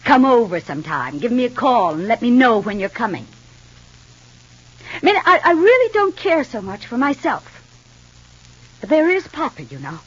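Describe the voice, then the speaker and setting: A woman's voice: a little high, very animated, normal speed, long pauses, breathy edge. An actress playing a proud, guarded, resentful woman with an American accent in a vintage radio soap opera. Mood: reproachful. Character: resentful, proud, guarded grown woman, cold toward her sister. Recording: muffled old radio broadcast recording.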